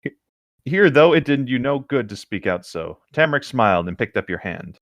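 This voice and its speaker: A man's voice: medium pitch, very animated, moderate pace, no room sound, highs cut off. A man reading fan fiction aloud as a co-host, slipping into casual banter between passages.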